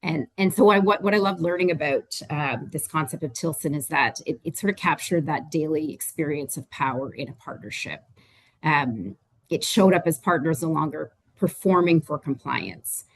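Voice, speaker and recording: Gravelly-voiced woman explaining research findings. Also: sits low, normal speed, dry recording. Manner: earnest, explanatory, with frequent restarts and fillers. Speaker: report author and panelist. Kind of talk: answering a moderator on a webinar panel.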